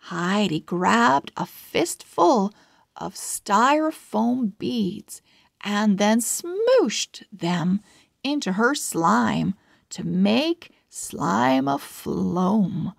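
A woman reading a children's storybook aloud in an expressive, deliberate way. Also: medium pitch, vocal fry, dry recording, clean studio sound. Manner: expressive, deliberate word-by-word delivery. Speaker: storybook reader. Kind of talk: children's read-aloud narration.

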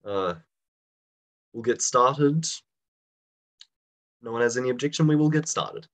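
A young man with a slight cold and a bit raspy, teaching a live online class over a video call in a casual, chatty way and putting questions to his students. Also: mid-range voice, lively intonation, a little slow, a bit bright.

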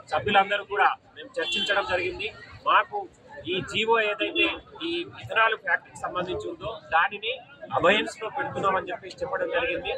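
A man giving an explanatory, measured statement to reporters outdoors among a crowd. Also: sits high. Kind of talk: press statement. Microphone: handheld reporter mic. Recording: distant voice, loud outdoor noise.

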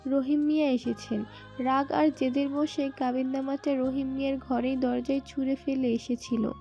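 A woman's voice: sits high, little pitch movement, normal speed, mellow. A woman reading scripted dramatic narration for an audio story. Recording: muffled band-limited sound, music bed.

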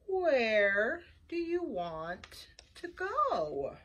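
Lady doing a storybook sloth, super slow draggy words, calm and helpful, never in any rush. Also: fairly high pitch, a little hiss or hum, heavily acted. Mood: slow, obliging. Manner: drawn-out, extremely slow delivery. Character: very slow, unhurried, helpful sloth, male assistant.

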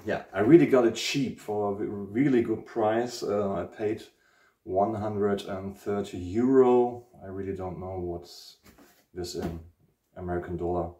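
A man's voice: lowish voice, warm tone, recorded from a distance. A man in his forties from Germany with a German accent, hosting a casual guitar unboxing vlog at home and searching for his words with many ums. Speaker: guitar channel host. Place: living room at home, indoors. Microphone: camera mic at a distance. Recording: echoey room, speaker away from mic.

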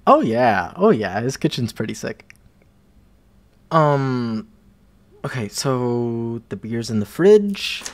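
Man acting a halting, swooping, shy college guy. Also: medium pitch, big pitch swings. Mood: casual, slightly nervous. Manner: halting, flustered stammering with nervous laughs. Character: shy, smitten young college man asking out a crush. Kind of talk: roleplay audio acting.

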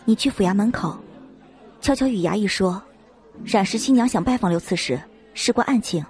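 A woman voicing a composed, decisive young noblewoman with a calm, commanding manner in an audiobook. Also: medium pitch, normal speed, faint music behind, lightly acted. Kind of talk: audiobook character reading.

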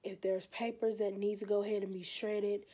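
A young American woman with a stuffy, hoarse cold voice, chatting casually and rambling in a tired way, recorded on a phone held close in her bedroom. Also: mid-range voice, little pitch movement, moderate pace, dark tone. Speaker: beauty vlogger. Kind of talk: casual vlog chat. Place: bedroom, indoors.

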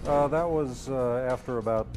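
A man in his fifties, a retired army colonel, reminiscing in a casual interview. He talks in a relaxed, reflective way with frequent pauses. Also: mid-range voice. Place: outdoors in dry hills and in a home office. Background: background music.

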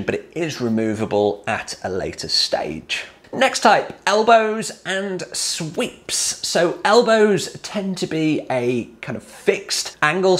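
A man in his forties explains hot tub pipe fittings to camera, taking them one at a time and lifting his voice at each new heading. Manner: plain step-by-step, lifts pitch on each new heading.

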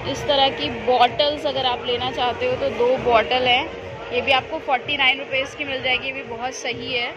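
Woman vlogger with a North Indian accent, slightly high voice, chatty price-by-price store tour, phone camera in a loud crowded shop. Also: low-quality recording. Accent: Hindi-speaking North Indian. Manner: chatty, points out prices and offers. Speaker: shopping vlogger. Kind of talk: walking store tour vlog. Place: busy supermarket indoors. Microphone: handheld phone camera. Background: shoppers chatting in a crowded store.

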